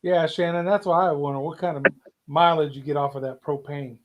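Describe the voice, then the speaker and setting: Guy co-host on a livestream, voice a bit on the high side, casual chat, reads out comments. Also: fairly even intonation, brisk, lo-fi sound, background noise.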